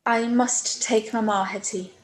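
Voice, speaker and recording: A woman playing a gentle, dutiful young gentlewoman in a radio play, polite and solicitous. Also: a little high, steady tone, measured pace, lightly acted. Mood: gentle concern. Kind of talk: radio play live read. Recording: echoey room.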